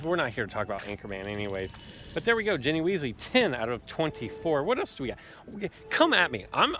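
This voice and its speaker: A man's voice: mid-range voice, fairly bright tone, a little hiss or hum. A man giving casual, playfully boastful commentary on a mobile game while walking in a park. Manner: playful, boastful trash talk. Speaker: gaming YouTuber.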